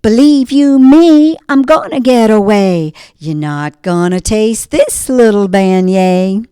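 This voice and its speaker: A woman's voice: fairly high pitch, sing-song, very slow, no echo, clean studio sound, pitched above the performer's own voice, full character voice. A woman voicing a tiny, cheeky living pastry boy who taunts in a sing-song way. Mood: taunting.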